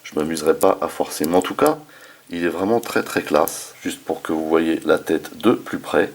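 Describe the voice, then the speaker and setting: A man's voice: rumbling low, expressive, normal speed, creaky. A man hosting a casual hands-on toy review, talking informally with slangy enthusiasm. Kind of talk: casual hands-on product demonstration.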